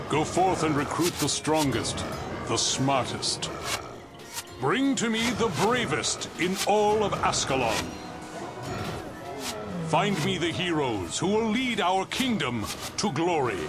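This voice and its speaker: A man's voice: a little high, big pitch swings, slow pace, long pauses, over music. An actor playing a proud, resolute king in a fantasy video game, speaking with grand, commanding oratory. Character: proud, resolute warrior king rallying his kingdom.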